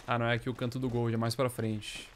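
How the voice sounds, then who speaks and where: A man's voice: medium pitch, flat delivery, quick pace, a little hiss or hum. A Brazilian man in his thirties giving casual, slangy, exclamatory commentary as he plays a video game. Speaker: gaming streamer. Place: small indoor room. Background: video game soundtrack and effects.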